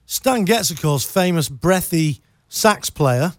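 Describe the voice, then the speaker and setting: A male radio host interviewing a guest in a studio, easygoing and polite, a little halting. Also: fairly high pitch, expressive, dry recording. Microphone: studio microphone.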